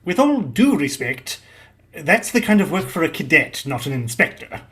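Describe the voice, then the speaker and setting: A man's voice: fairly high pitch, very animated, moderate pace, pushed and bright. A man acting a blunt, grudging Victorian police inspector in an audio drama, speaking in a cautionary way. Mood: reluctant.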